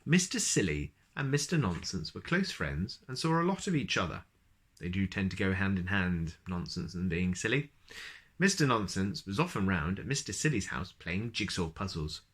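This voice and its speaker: A man reading children's storybooks aloud as host, expressive and speaking directly to young listeners. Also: deep voice, normal speed.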